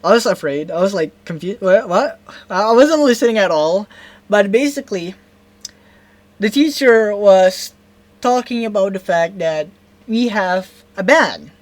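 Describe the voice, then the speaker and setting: Young woman, Indonesian accent, storytime vlogger; halting, self-correcting delivery, mid-pitched, close and dry. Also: animated, dry recording. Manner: rambling, halting, self-correcting, keeps asking "right?". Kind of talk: storytime vlog.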